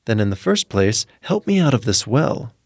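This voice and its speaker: Storybook soldier, acted by a man: male, war-weary, resolute, plain and firm in speech, lively intonation. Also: medium pitch, normal speed, no room sound, clean studio sound.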